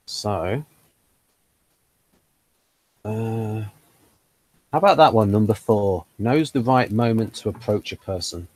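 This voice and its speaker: A man's voice: on the low side, slow pace, pauses often, darkish tone. A man hosting a casual interview, explaining ideas, reading items aloud and asking follow-up questions. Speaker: host.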